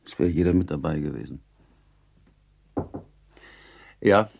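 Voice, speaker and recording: Very deep-voiced, brooding Prussian baron. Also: male voice, fast talker, gravelly, darkish tone, breathy edge, full character voice. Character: brooding, rigidly self-controlled Prussian baron and betrayed husband. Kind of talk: German radio drama acting.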